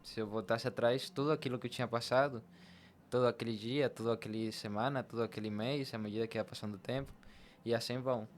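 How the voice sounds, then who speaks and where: A man's voice: medium pitch, steady tone, fast talker, a little hiss or hum. A young man from Latin America, telling his personal story conversationally as a podcast guest.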